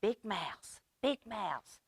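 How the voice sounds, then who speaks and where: A woman's voice: medium pitch, sing-song, gravelly, slightly rough, no echo, clean studio sound, full character voice. A woman voicing a small, anxious young male mouse in a storybook, pleading and calling out plaintively. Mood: anxious, hushed. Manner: plaintive calling, drawn-out cries.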